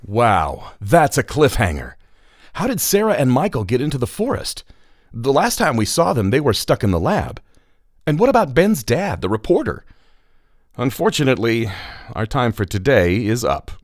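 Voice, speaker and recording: Animated man narrating for children. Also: mid-range voice, moderate pace, dry recording, clean studio sound. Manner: playful, addresses listeners directly. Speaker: storyteller host. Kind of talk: scripted narration for children's podcast.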